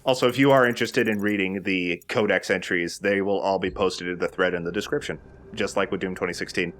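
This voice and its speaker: A man's voice: low voice, steady tone, brisk, fairly bright tone, slight background noise. A man giving casual, chatty gameplay commentary, explaining things as he plays and swearing casually. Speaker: let's play host and player.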